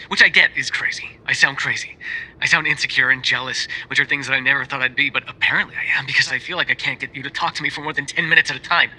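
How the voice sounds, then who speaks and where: A man's voice: medium pitch, bright, forceful tone, breathy edge, rough recording. A man playing a lovesick, jealous mafia boyfriend in an intimate ASMR roleplay monologue, conversational and sardonic, dropping into whispers. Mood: hurt, pleading.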